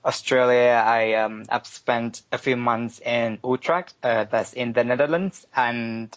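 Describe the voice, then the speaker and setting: A man's voice: medium pitch, fairly even intonation, a little slow. A man from Thailand, giving casual, hesitant interview answers as a podcast guest, thinking aloud as he goes. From Bangkok, Thailand. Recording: dull lo-fi podcast audio.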